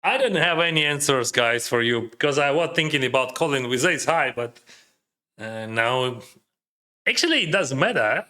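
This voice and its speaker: A Ukrainian-accented man whose first language is Russian, talking through poker hands live for his chat. His voice is bright and forward, his pitch sits mid-range, and he rambles along in easy, filler-heavy advice. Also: expressive, moderate pace. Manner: chatty, advising, heavy use of "like" and "uh". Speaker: poker streamer. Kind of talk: casual live-stream commentary, answering chat.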